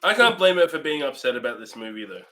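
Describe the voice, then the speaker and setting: A man with a slightly high voice that moves up and down in a lively way. He speaks as a co-host on a review show. His style is casual and conversational. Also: fast talker.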